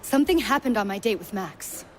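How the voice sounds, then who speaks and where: A woman's voice: medium pitch, animated, a little fast, soft background music, natural voice. An actress playing a shaken young woman who talks in an urgent, pleading way. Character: shaken young woman, Becky, after a robbery.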